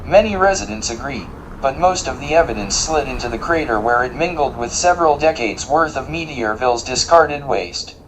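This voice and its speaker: Man playing a precise, deadpan robot guide. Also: mid-range voice, normal speed, few pauses, noisy background, lightly acted. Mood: factual, deadpan. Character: literal-minded, polite, formal male robot guide. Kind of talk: audio drama acting.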